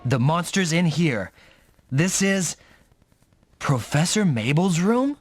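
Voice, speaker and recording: An actor playing a young, headstrong rookie mech pilot in an anime-style video game, speaking dramatically and often thinking aloud. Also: male voice, on the high side, big pitch swings, slow, no room sound, faint music behind, heavily acted. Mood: urgent, agitated.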